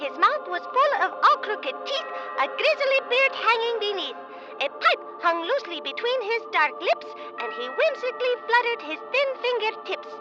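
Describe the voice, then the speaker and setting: Bossy, squeaky little alien girl. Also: sing-song, normal speed, dull audio, loud music behind the voice. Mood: proud reciting. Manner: childlike, teasing. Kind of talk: comedic audio drama acting.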